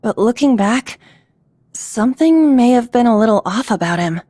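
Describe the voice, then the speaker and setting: A woman's voice: on the high side, expressive, normal speed, warm tone, no echo, clean studio sound. An actress playing a frank, caring teenage girl at a military school, speaking seriously and thoughtfully in video game dialogue. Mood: reflective, sincere.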